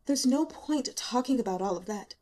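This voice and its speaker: A woman's voice: on the high side, lively intonation, normal speed, warm tone. Bitter, exhausted young female student, acted by a woman. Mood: icy, defensive. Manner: sarcastic, pained, emphatic.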